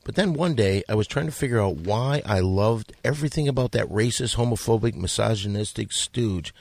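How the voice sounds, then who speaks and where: A man hosting a podcast who reads listener letters aloud in a mock-earnest, deadpan way. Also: lowish voice, fairly quick, creaky, dry recording. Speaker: podcast host.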